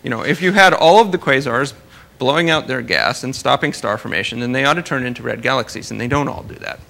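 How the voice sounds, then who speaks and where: A man's voice: medium pitch, quick pace, gravelly, pushed and bright. A man giving an informal research lecture, explaining technical material with careful hedges and wry, dry asides. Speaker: astronomer giving a research talk.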